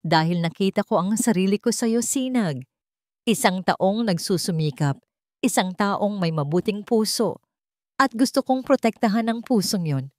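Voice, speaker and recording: Woman playing smooth rich male suitor, Filipino accent, soft persuasive manner, controlling, audiobook dialogue, dry sound. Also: mid-range voice, lively intonation, normal speed, no echo, close to an everyday voice. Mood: tender, earnest.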